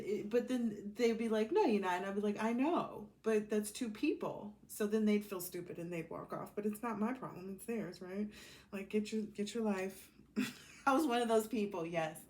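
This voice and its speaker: A woman's voice: medium pitch, a little mellow. An American woman giving a casual, rambling tarot card reading in a blunt, sassy, colloquial way.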